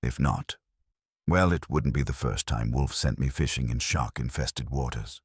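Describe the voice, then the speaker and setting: A man's voice: a bass voice, normal speed, slightly rough, no echo, studio quality. A man narrating a first-person detective story in a wry, hardboiled storytelling manner. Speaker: audiobook narrator.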